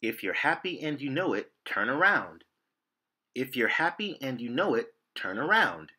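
Lively, bright-voiced man reading to kids. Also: medium pitch, moderate pace, slightly rough. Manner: reads song lyrics in a sing-song, rhythmic way. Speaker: storytime reader. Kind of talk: reading a picture book aloud to children. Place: indoor room.

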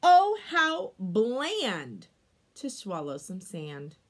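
A woman in her thirties reading a picture book aloud to children, expressive, with exclamations and chatty explanatory asides. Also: mid-range voice, drawn-out words.

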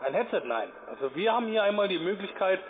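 Fast high-voiced man on a crowded phone line. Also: big pitch swings, noisy background. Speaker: call centre manager, sales agent. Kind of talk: brisk telephone sales talk. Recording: phone line, busy background din.

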